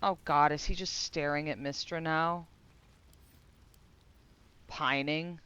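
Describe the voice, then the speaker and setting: A woman game streamer giving casual live commentary, playful and expressive, swearing casually. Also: deep voice, slow, clean tone, lo-fi sound. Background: video game music.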